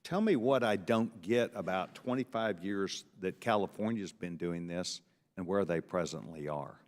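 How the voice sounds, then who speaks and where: A man's voice: sits low, normal speed, a little mellow. A man questioning witnesses at a committee hearing, pressing and repeating himself as he interrupts. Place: large hearing room. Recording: hearing room with long reverb.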